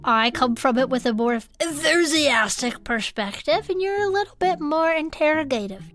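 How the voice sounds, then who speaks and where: A woman playing an enthusiastic, toothless turtle-folk cryptid investigator who muses gently and is eager to believe, in conversational audio fiction. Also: sits high, expressive, moderate pace. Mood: curious, agreeable.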